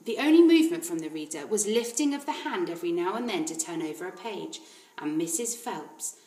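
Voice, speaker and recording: A woman reading a children's story aloud like a teacher, in an expressive narrating style. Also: mid-range voice, a little fast, reverberant, rough recording.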